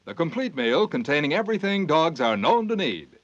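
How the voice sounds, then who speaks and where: A man as a commercial announcer giving an emphatic 1940s radio sales pitch. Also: big pitch swings, normal speed. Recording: muffled 1940s radio broadcast.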